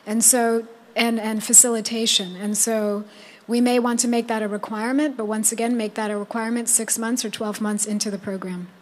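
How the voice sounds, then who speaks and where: A woman's voice: a little high, level tone, brisk. A woman giving a spoken summary at a meeting, stressing and repeating her key points. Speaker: roundtable participant reporting back.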